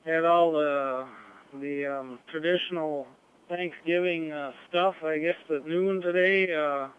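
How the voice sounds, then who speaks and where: A man's voice: on the high side, fairly even intonation, slow, clean tone. A man casually and hesitantly checking in over amateur radio. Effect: amateur radio transmission. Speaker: ham radio net participant. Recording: tinny phone-like radio link.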